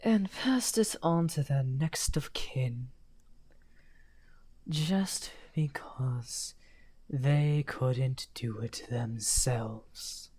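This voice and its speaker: A man playing a misunderstood outcast necromancer wizard, smugly amused and taunting, in an unhurried, breathy, often half-whispered ASMR roleplay. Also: mid-range voice, darkish tone. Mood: bitter, confiding. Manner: unhurried, taunting, drawn-out phrasing. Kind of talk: slow theatrical ASMR roleplay.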